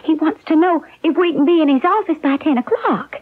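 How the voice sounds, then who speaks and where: A woman's voice: high-pitched, expressive, a little fast. An actress playing a naive, anxious young woman in a radio drama, plaintive and guileless. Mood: flustered. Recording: muffled old radio broadcast recording.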